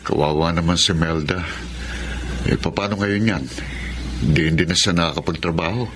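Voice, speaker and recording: Actor as deep-voiced Filipino buddy. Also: male voice, normal speed, background noise, close to an everyday voice. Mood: sympathetic. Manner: inquisitive, commiserating. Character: sympathetic male friend of the husband. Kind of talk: Tagalog radio drama acting. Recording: loud music under dialogue.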